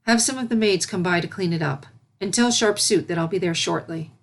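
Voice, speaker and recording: A woman's voice: fairly low pitch, brisk. A woman voicing a caring, regal winged unicorn princess, a motherly mentor in a storybook reading. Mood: composed.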